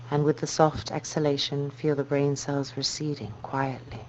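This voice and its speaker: A dull, slightly noisy recording with a low hum carries a woman teaching yoga. She guides a meditation softly and calmly, in measured, unhurried phrases. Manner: slow, soft, calming delivery with measured phrases.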